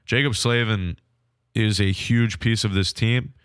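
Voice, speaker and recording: A man hosting a sports podcast on his own, sounding nasal from a cold, talking through a game in a frank, measured way. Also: fairly low pitch, fairly even intonation, a bit bright, clean tone, dry recording, clean studio sound. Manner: frank, measured hockey analysis. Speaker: podcast host. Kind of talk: solo sports podcast recap.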